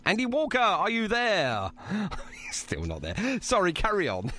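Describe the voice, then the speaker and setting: A man's voice: high voice, huge pitch swings, a little slow, bright, forceful tone. A man hosting a casual podcast interview, with a jokey, teasing manner. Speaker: host interviewing a band.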